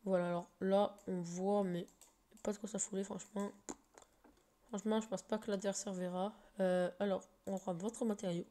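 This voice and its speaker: A woman with a slight cold walks viewers through a game at her computer, her voice mellow and dark. She hesitates a lot, filling pauses and restarting sentences as she goes. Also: medium pitch, little pitch movement. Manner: hesitant, full of fillers and restarts. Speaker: game tutorial maker. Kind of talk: casual screen-recorded game tutorial.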